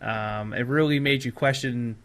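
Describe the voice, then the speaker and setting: Male podcast host, medium pitch, effusive and informal, conversational delivery. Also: normal speed, a bit bright. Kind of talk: casual podcast chat about films.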